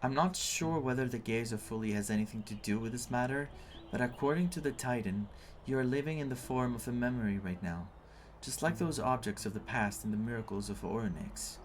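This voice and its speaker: A man playing a serious, reserved and loyal young traveling companion in a video game, speaking in a measured, earnest, restrained way. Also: mid-range voice, a little hiss or hum, natural voice. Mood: grave, resolute. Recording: game music under the voice.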